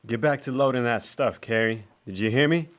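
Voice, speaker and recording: A man playing a mean, domineering brother in a radio western, gruff and bossy. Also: mid-range voice, fairly quick, slight background noise, natural voice. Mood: angry. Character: mean, domineering, possessive brother, frontier brute. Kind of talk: old-time radio western acting.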